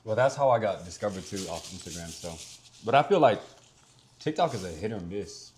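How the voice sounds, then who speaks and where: Deep-voiced man with a Vietnamese-tinged accent. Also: expressive, brisk, slight background noise. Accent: Vietnamese-tinged English. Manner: laid-back, rambling, lots of fillers. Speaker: guest content creator. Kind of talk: casual podcast chat.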